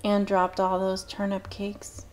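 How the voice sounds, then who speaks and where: A woman's voice: mid-range voice, completely flat delivery, measured pace, a little mellow, soft background music, natural voice. A woman reading a storybook and voicing a sleepy, impulsive young girl who gets flustered and apologetic. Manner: breathless exclamations, later apologetic.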